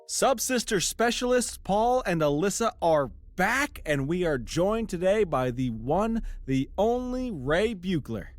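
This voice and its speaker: A man's voice: sits high, big pitch swings, a little slow, hardly any pauses, faint music behind. A man playing a showy, upbeat podcast presenter announcing a show in an audio drama.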